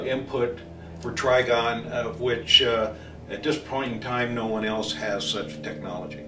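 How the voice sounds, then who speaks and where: A man in his sixties giving a technical product demonstration as a trainer, speaking haltingly with many pauses, recorded from a distance in an office meeting room. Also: mid-range voice, fairly bright tone. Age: 60s. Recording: distant mic, echoey room, faint noise.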